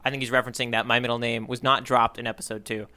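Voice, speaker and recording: Bright-voiced man, playful podcast co-host. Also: medium pitch, flat delivery, rapid-fire, clean tone. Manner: playful, jokey asides. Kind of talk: casual readalong podcast chat.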